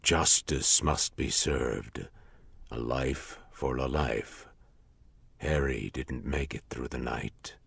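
An ominous shadow figure from a radio horror play, extremely low and badly rasping. He commands slowly and menacingly, in a dry, close sound. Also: fairly bright tone, no echo, heavily acted. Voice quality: deep, gravelly. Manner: slow, commanding. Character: ominous shadowy apparition demanding vengeance, deep-voiced. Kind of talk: radio horror drama acting.

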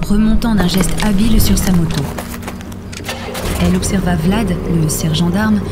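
A woman reading scripted, dramatic story narration for an audio fiction. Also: medium pitch. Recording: music behind the voice.

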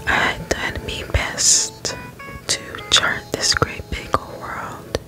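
Woman as folksy frog sailor, video game character, whispered, slow, drawn-out, ASMR. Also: over music, close to an everyday voice. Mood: wistful, easygoing. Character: folksy male frog boatman singing a sea shanty. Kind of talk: ASMR read-out of game dialogue.

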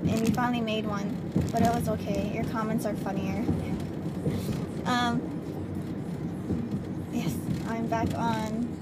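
A young woman chatting casually to her camera while driving, rambling with fillers and restarts, recorded inside a small car. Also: on the high side. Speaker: vlogger. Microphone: handheld camera. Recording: loud car noise, shaky camera mic. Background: car and road noise.

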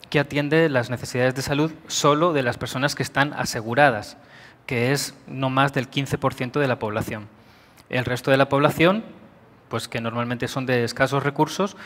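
A middle-aged man from Spain with a Spanish accent, giving a measured conference talk with frequent pauses in a large auditorium. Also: mid-range voice, a bit bright. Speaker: conference speaker. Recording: long hall reverb.

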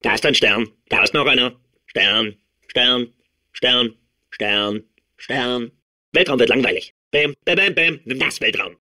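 A man playing a manic, childlike robot sphere obsessed with outer space in a video game, babbling and repeating himself in a strained, husky voice. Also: medium pitch, measured pace. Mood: excited. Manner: excitable, babbling, repeating words compulsively. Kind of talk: video game character voice clips.

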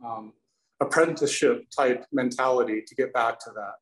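A man's voice: mid-range voice, moderate pace. A man in his forties answering audience questions on a video call from an indoor workshop, in an earnest, thankful way. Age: 40s. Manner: earnest, thankful, some restarts. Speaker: presenter, canoe maker. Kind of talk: casual Q&A answers. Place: indoor studio with windows. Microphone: video call, webcam. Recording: roomy echoey video call audio.

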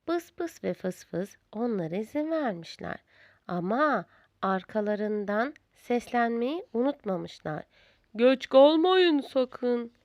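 Woman storyteller, high voice, very animated intonation, unhurried, children's tale narration. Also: no echo, studio quality.

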